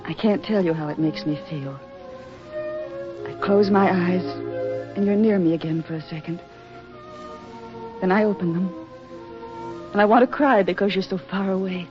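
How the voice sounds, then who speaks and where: A woman's voice: fairly low pitch, normal speed, pauses often, natural voice. An actress playing an anguished, lovelorn young woman in a 1940s radio drama, with an earnest, emotional and melodramatic delivery. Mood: tender longing. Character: lovelorn, anguished young woman awaiting her soldier sweetheart. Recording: muffled vintage radio recording with music.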